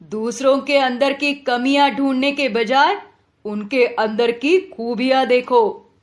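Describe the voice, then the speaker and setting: A woman voicing a stern but fair lion king in a children's moral story, speaking firmly and deliberately. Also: high-pitched. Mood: admonishing. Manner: firm, admonishing, deliberate.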